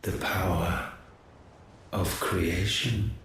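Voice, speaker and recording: Game villain: ancient male god, cold, grandiose, solemn archaic delivery, low-pitched, in heavy echo. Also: fairly even intonation, fairly bright tone, heavily acted. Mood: grave astonishment. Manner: slow, solemn, archaic declamation. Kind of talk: dramatic video game cutscene acting. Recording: very echoey with faint rumbling noise.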